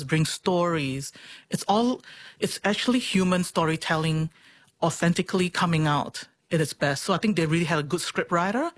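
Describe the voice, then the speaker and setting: A woman in her forties with a Singaporean accent, talking earnestly and expressively about herself as an interview guest. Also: low-pitched. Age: 40s. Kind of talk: reflective personal interview.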